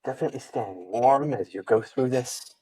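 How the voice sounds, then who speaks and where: A man teaching yoga, leading a guided meditation with gentle, unhurried instructions and pauses. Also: mid-range voice, breathy edge, distant mic, rough recording.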